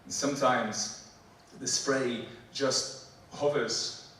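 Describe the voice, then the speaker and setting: A man in his forties with a Polish accent, performing spoken word poetry and joking between poems in halting, filler-heavy banter. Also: medium pitch, steady tone, a bit bright, slight background noise. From Poland. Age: 40s. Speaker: performance poet. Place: theatre stage before a live audience. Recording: echoey hall, mic from a distance. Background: audience laughter.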